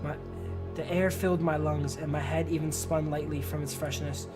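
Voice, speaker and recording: A man's voice: on the high side, fairly even intonation, normal speed, loud music behind the voice. A man livestreaming a game, reading its story text aloud and chatting in slang, stumbling over long words. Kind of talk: narrating game text, casual chat with viewers.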